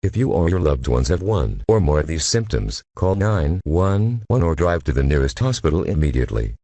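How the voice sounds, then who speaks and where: A man's voice: extremely low pitch, sing-song, normal speed, dark tone, dry recording. A man reading a scripted emergency broadcast warning as a formal, impersonal announcer.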